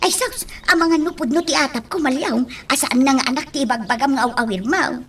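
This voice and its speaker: A woman's voice: high voice, quick pace, natural voice. A woman playing a nosy, suspicious friend in an Ilocano comedy radio drama, full of questions and exclamations, with an Ilocano accent.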